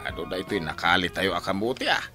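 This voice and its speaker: A man playing a weary, mildly exasperated young schoolteacher son in a radio drama, expressive and complaining. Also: deep voice, fast, over music. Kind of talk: radio drama acting in rural family comedy.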